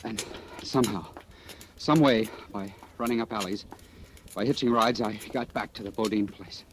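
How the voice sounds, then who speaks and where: A man's voice: fairly low pitch, normal speed, heavy background noise, natural voice. A man playing a desperate, defiant American fugitive in old radio drama style, clipped and urgent. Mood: grim narration. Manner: hard-boiled, clipped, urgent. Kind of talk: 1940s-50s radio drama acting and narration. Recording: old radio broadcast with music.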